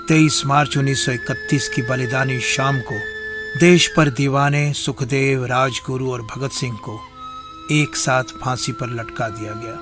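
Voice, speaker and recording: A man narrating a scripted historical radio drama in a solemn, rhetorical manner. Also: mid-range voice.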